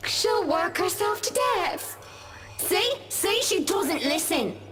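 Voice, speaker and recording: A woman's voice: very high-pitched, very animated, slow pace, a bit bright, faint music behind, heavily acted. A woman voicing a cruel, mocking dream apparition of women in a visual novel game, with a theatrical, taunting delivery. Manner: sing-song taunting, theatrical, swinging between whispers and shrieks.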